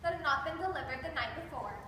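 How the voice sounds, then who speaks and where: A woman's voice: high-pitched, animated, fast. A woman narrating a scripted story in a readers theater performance. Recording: echoey hall from far off, noisy.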